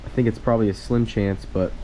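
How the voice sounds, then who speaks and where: A man's voice: on the low side, steady tone, fast talker, mellow, slight background noise, poor audio. Upbeat young man vlogging outdoors. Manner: upbeat, chatty, talks to viewers. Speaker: fishing vlogger. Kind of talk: casual vlog narration. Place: outdoors by a saltwater creek.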